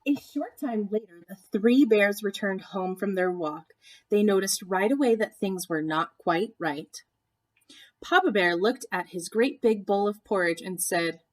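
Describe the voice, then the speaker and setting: A woman reading a children's story aloud like a teacher, with expressive, deliberate storytelling. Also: medium pitch. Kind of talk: storybook read-aloud narration with asides.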